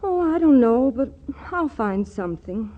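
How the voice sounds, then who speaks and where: A poised, skeptical governess in a vintage radio comedy. Her fairly high voice moves expressively, on a slightly muffled 1940s recording. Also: a little slow. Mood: poised, curious. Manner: expressive, questioning.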